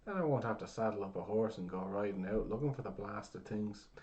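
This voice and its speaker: A man voicing a crass, half-deaf old blacksmith who shouts in a gruff, rough brogue. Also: lowish voice, brisk, dull audio, natural voice.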